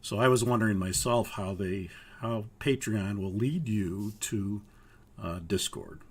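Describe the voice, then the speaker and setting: An older man with a hoarse, raspy voice from a cold leads an online pilot course. His voice sits low and gravelly. He explains things haltingly and fills his pauses with "uh.". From Minnesota, US. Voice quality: gravelly, husky. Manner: halting, with frequent uhs. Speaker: flight training instructor. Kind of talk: live online course walkthrough.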